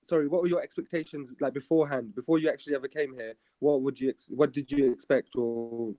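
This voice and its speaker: A man's voice: medium pitch, steady tone, fast talker, dark tone, no room sound. A man asking questions on a casual discussion panel, earnest and rambling, often apologizing.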